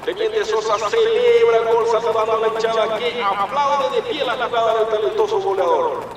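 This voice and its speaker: A man playing an excited football radio commentator in a radio drama, calling goals at a rapid clip. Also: high voice, a crowd in the background, natural voice. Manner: rapid, shouting goal calls. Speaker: match commentator. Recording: echoey, distant, noisy stadium sound.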